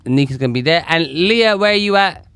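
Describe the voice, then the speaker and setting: A man's voice: on the high side, expressive, fairly quick, fairly bright tone, clear, resonant tone, no room sound. A man co-hosting a casual comedy podcast, telling a story in a rambling, stop-start way full of restarts. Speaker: podcast co-host.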